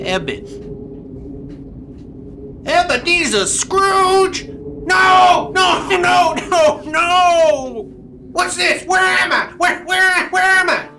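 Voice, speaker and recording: An actor playing an excitable old Western miser turned joyful in a radio play, stammering and repeating himself. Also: male voice, very high voice, very slow, pauses often, shouting, over music, heavily acted. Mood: terrified.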